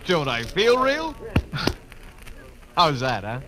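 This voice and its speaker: An actor playing an easygoing brother and former pilot in a 1950s radio drama, breezy and a bit evasive. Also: male voice, on the high side, wildly swooping pitch, extremely slow, noisy background, exaggerated voice.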